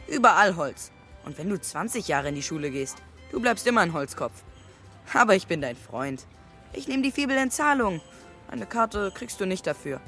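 A woman's voice: mid-range voice, fairly bright tone, lightly acted. A woman playing a brash, streetwise boy in a children's radio play, a cunning, chummy little barker with a cheeky way of talking.

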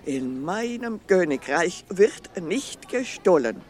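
A woman's voice: lowish voice, sing-song, slow, a little mellow. An actress playing a haughty, mocking evil queen in a children's radio play, imperious and scornful, with hesitant fillers.

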